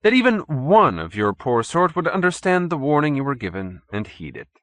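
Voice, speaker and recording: A man's voice: mid-range voice, very animated, moderate pace, fairly bright tone, no room sound, voice pushed up. A man voicing a haughty, urbane cult leader in an audiobook, pompous and sternly courteous. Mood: urbane, condescending. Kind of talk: audiobook character acting.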